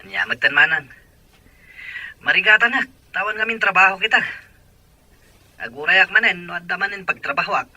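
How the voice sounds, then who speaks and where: A man's voice: high voice, lively intonation, moderate pace, long pauses, pushed and bright, no room sound, muffled recording, heavily acted. An actor playing a worried father out of work in a radio drama. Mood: weary. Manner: earnest, worried. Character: struggling father out of work, weary.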